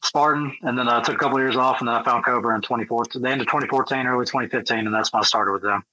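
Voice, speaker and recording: A man's voice: medium pitch, steady tone, very fast, pushed and bright, poor audio. A man in his thirties, a guest on a podcast, chatting casually and joking about himself, dropping "man" and "bro". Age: 30s. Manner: laid-back, self-deprecating, says "man" and "bro". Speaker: podcast guest, grappling athlete.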